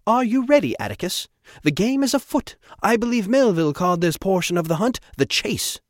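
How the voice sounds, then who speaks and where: A man's voice: high voice, very animated, brisk, no room sound, studio quality, voice pushed up. A man voicing an imperious, impatient rich man in command of his yacht in an audiobook, speaking in a commanding, theatrical way. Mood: eager, gleeful. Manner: commanding, theatrical, grandly jovial. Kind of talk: audiobook character acting.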